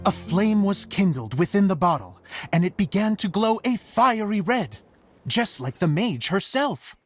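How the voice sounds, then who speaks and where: A woman voicing a theatrical storyteller and show director in a video game, narrating like a storybook in a measured, expressive way. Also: mid-range voice, husky, faint music behind. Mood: storytelling.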